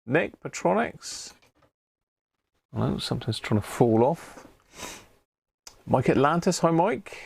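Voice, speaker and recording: A man's voice: medium pitch, gravelly, no echo. A British man hosting a casual live hobby stream, talking in a rambling, matey way. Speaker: host of a live radio-repair stream. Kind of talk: casual livestream chat.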